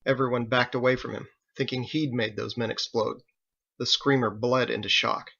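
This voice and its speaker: Gravelly, bright-voiced man narrating fiction. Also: medium pitch, level tone, normal speed, muffled recording. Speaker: author reading own novel. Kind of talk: dramatic audiobook narration.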